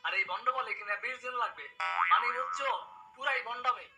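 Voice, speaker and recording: Guy as skeptical cartoon dad, blunt n dismissive, loud music, bit distant. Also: very high-pitched, fairly quick. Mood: mocking. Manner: scoffing, blunt, casual. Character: skeptical, dismissive father and husband.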